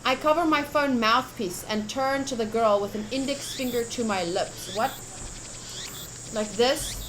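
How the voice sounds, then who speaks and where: A woman with a fairly high voice hosts a casual game livestream. She takes her time, switching between chat and reading aloud with expressive enthusiasm, over noticeable background noise. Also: unhurried, rough recording. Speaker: game streamer. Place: livestream setup.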